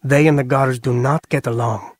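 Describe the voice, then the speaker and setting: An actor playing a guarded informant in a video game, husky and measured, with a dry, sceptical manner. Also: male voice, mid-range voice, steady tone, no echo, lightly acted. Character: guarded male assassin informant. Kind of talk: dramatic video game acting.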